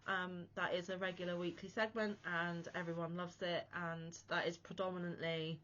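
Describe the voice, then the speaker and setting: A woman's voice: on the low side, level tone, moderate pace, a bit bright, lo-fi sound, slight background noise. A woman hosting a crochet channel, chatting casually to camera while unwell, a bit wheezy and chesty, with a rambling, self-deprecating manner.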